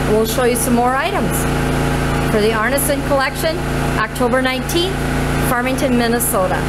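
A woman's voice: sits high, very noisy. Chatty Midwestern woman touring a car barn. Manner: chatty, listing items with frequent "uh" pauses. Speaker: auctioneer host giving a car walkthrough. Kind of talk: casual promotional walkthrough. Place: inside old barns and sheds full of cars.

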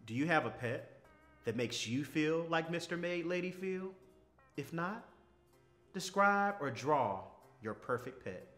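A man in his thirties reading a story aloud to children in a measured, expressive way. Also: on the high side, faint music behind. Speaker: story reader. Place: small furnished room, indoors.

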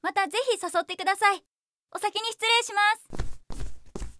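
An actress playing a sweet, apologetic young rookie, meek and cutesy, in an anime-style voice drama. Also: female voice, very high voice, steady tone, moderate pace, sharp tone, no echo, clean studio sound. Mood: polite, sorry. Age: young adult.

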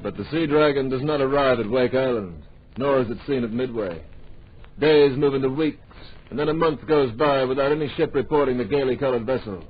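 A man's voice: medium pitch, close to an everyday voice. Man as a grand old radio narrator, muffled. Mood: measured, suspenseful. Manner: grand, deliberate, dramatic. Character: earnest, dramatic storyteller of adventure. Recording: dull old radio recording.

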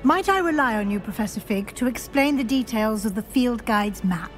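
A stern, brisk professor, crisp and businesslike, played by an actress, her fairly high voice riding over clear orchestral music in a game cutscene. She keeps things moving with clipped, no-nonsense authority. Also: animated, natural voice. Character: brisk older female professor, stern and proper.

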